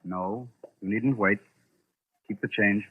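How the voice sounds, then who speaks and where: An actor playing a shrewd, composed skeptic and lecturer, urbane and probing, in vintage radio drama style. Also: male voice, lowish voice, steady tone, slow. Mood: brisk. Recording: muffled, crackly old radio recording.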